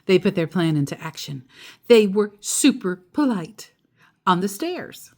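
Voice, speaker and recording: Animated, unhurried woman reading a storybook. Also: medium pitch. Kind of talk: read-aloud storybook narration with casual asides.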